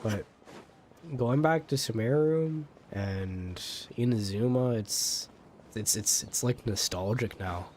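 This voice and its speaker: A man livestreaming a game, chatting casually and unscripted in a rambling, halting way. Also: fairly low pitch, a little mellow, a little hiss or hum. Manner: rambling, repeats words haltingly. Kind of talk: casual unscripted gameplay chat.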